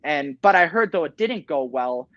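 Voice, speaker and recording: Teen boy with a high, chatty voice. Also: animated, normal speed, a bit bright, no room sound, dull audio. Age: teens. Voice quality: high talking voice. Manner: chatty, many fillers like "you know". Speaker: guest, Elvis tribute artist. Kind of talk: casual interview chat. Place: small home studio indoors.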